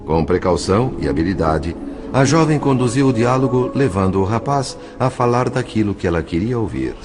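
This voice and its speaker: A man with a Brazilian accent narrating an audio drama from a script in formal, measured, dramatic phrasing. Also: lowish voice, lively intonation. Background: music.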